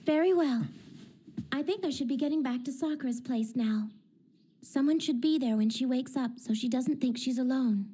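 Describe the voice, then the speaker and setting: A woman's voice: sits high, moderate pace, dark tone, slightly rough. A woman playing a self-assured, analytical teenage girl in an anime dub, reasoning things out assertively. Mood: decided. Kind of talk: anime-style dramatic dub acting. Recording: music behind the voice.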